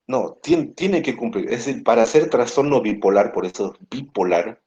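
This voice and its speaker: A man's voice: mid-range voice, animated, normal speed, dark tone, slightly rough. A man with a Peruvian Spanish accent giving a university lecture in an explanatory tone, often ending phrases with a tag question. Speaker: psychiatry lecturer. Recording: muffled lo-fi classroom recording.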